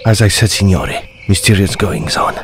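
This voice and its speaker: A man's voice: low-pitched, big pitch swings, moderate pace, gravelly, noisy background, full character voice. A man playing a grave, deferential Italian castle servant who talks ominously in a period audio drama.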